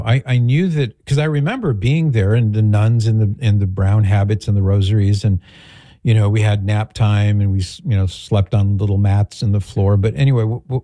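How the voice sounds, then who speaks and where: A middle-aged American man with a very soft, dark-toned voice pitched on the low side. He hosts a talk show and chats casually, wandering reflectively through his stories. The sound is close and dry. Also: no echo. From greater Los Angeles, United States. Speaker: talk show host answering listener questions. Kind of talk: casual conversational talk, reading out messages.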